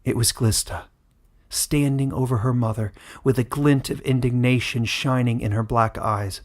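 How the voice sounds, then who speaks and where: A man narrating a fantasy story in a dramatic, scripted style with measured, suspenseful delivery. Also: mid-range voice, fairly even intonation. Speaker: author and narrator.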